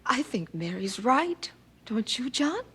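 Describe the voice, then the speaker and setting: An actress playing a gentle, calm young wife and expectant mother in a period audio drama, speaking with soothing patience and affection. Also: a little high, takes it slowly, slightly rough, heavily acted. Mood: tender.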